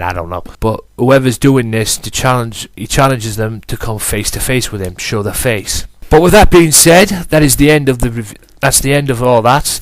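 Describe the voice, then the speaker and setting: A man's voice: mid-range voice, no room sound. A man hosting a solo wrestling podcast, talking emphatically and repeating himself, with a cold and a blocked nose. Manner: emphatic, repetitive, casual swearing. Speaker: podcast host.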